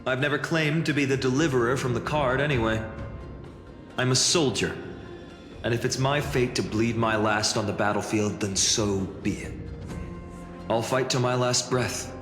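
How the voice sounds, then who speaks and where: A man's voice: medium pitch, fairly even intonation, moderate pace, natural voice. A man voicing a resolute, selfless soldier hero in a video game, earnest and steadfast. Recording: echoey hall reverb, music behind.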